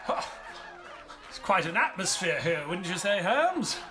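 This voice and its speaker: An actor playing a good-natured, bumbling doctor sidekick who talks in a hesitant, stammering, jolly way. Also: male voice, high voice, very animated, a little slow, background noise. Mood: jovial. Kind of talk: audio drama acting. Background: faint piano music.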